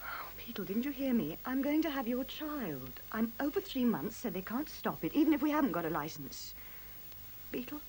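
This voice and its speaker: A woman's voice: fairly high pitch, very animated, normal speed, darkish tone, low-quality recording. An actress playing an impatient, emotional young woman, petulant and scornful, in a 1970s radio play. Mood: urgent, pleading. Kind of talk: 1970s radio drama acting. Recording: hissy 1970s radio recording.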